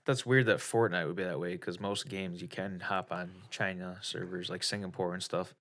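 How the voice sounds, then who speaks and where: Blunt low-voiced American guy. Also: steady tone, moderate pace, a bit bright. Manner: blunt, crude, laughs easily. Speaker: podcast co-host. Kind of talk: casual chat.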